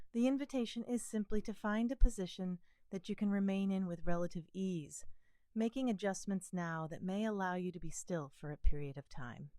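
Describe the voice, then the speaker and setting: Mellow, soothing woman guiding meditation. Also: fairly low pitch, no echo. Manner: gentle, calm, soothing delivery with pauses. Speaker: meditation teacher. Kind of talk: guided body scan meditation.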